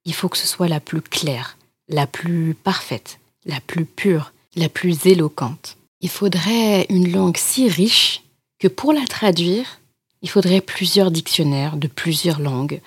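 A young adult woman hosting a podcast as a Quran teacher, talking earnestly and gently straight to the listener. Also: fairly low pitch, slow, breathy edge, no room sound. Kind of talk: reflective spoken podcast talk.

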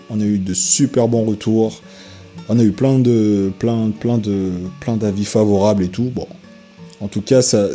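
A man hosting an interview show, introducing his guest and asking questions in a relaxed, upbeat way. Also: lowish voice. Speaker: host. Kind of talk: radio-style interview hosting.

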